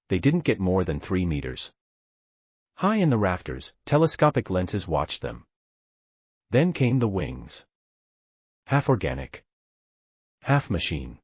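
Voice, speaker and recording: Deep husky dramatic male narrator. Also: a little mellow, no room sound. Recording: dull dry lo-fi recording.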